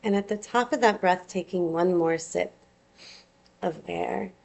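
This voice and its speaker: A woman teaching yoga nidra, guiding a relaxation with soothing, unhurried phrasing and long pauses. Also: lowish voice, gravelly, darkish tone. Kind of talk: guided meditation, gentle instruction.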